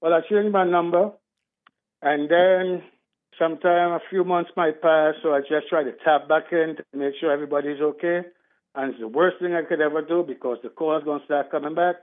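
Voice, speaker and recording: A man's voice: on the high side, fairly even intonation, brisk. A man from Trinidad, calling in to a radio show and speaking earnestly in a rambling way. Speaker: caller. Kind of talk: call-in talk radio. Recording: tinny phone line.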